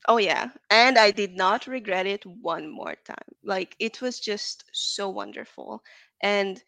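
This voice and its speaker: Interview guest: woman, gravelly voice, dry close sound, chatty with lots of likes and ums. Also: mid-range voice, slow pace, pushed and bright, dry recording. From Hungary. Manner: chatty, frequent "like" and "um". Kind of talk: casual interview chat.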